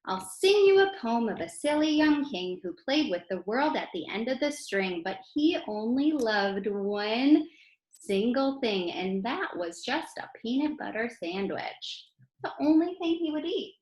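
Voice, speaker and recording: High-voiced American woman reading to children, echoey. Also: unhurried. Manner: expressive, talks directly to young listeners. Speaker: children's poem reader and teacher. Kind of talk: animated read-aloud for children. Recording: roomy, echoey sound.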